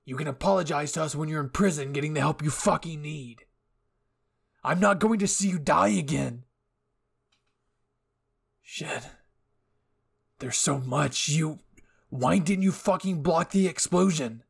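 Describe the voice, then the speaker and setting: Man acting a gruff, hot-tempered young anime hero. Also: a little high, measured pace, long pauses, exaggerated voice. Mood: frantic, angry. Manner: brusque, profane, urgent. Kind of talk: anime ASMR roleplay acting.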